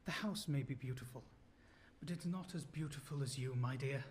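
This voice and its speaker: A man's voice: medium pitch, moderate pace, background noise, close to an everyday voice. An actor playing a polite, earnest young male student in a radio play, with a proper, old-fashioned way of talking. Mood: tender.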